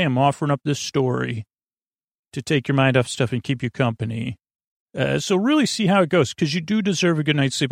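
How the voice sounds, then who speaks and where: A man hosting a bedtime podcast, rambling in a halting, meandering way and often trailing off mid-thought. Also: mid-range voice, no room sound, clean studio sound. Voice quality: creaky, gravelly. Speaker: podcast host.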